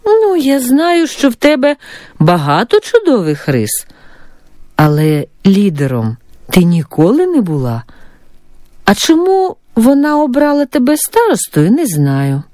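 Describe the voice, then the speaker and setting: Actress as a measured, gently doubting mom, very expressive pitch, dry studio sound. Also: female voice, dry recording. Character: calm skeptical mother Yevheniia Petrivna.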